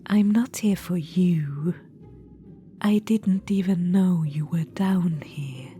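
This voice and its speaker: A woman's voice: on the low side, slow pace. A woman voicing a scheming undead witch-queen in a whispered audio roleplay, confiding and fond. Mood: coaxing. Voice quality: soft whisper. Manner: confiding, fond, slyly amused. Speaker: ASMR roleplay performer. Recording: music underneath. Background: background music.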